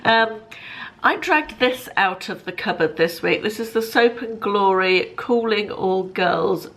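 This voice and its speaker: A British woman in her fifties vlogging casually to camera, chatty and rambling, sounding slightly bunged up, in a bright living room. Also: mid-range voice, measured pace, low-quality recording. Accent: British English. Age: 50s. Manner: chatty, friendly, rambling with fillers and self-corrections. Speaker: lifestyle vlogger.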